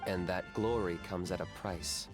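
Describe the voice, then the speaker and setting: An actor playing a cool, blunt young warrior in a video game, with a direct, deadpan delivery. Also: male voice, sits low, moderate pace, lightly acted. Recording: game music clearly behind.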